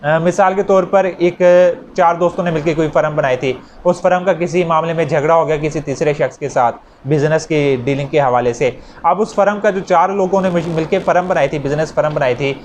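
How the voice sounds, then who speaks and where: A man with a Pakistani accent giving an explanatory law lecture, repeating and rephrasing points with worked examples for students. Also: fairly high pitch. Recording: noticeable background noise.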